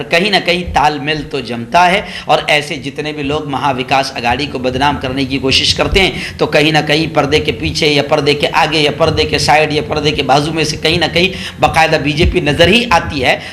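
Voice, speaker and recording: A man's voice: fairly high pitch, bright, forceful tone, a little hiss or hum. A man giving opinionated political news commentary, sarcastic and mocking, repeating phrases for emphasis.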